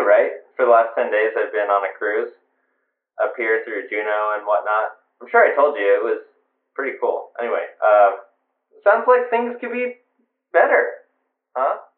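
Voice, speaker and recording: A man's voice: mid-range voice, narrow, tinny audio. A man playing an easygoing, jokey friend rambling through a casual voicemail in an audio drama, phone-filtered. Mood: gently concerned. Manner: chatty, rambling, corny jokes. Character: easygoing, jokey male buddy leaving a voicemail. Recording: thin phone voicemail, echoey.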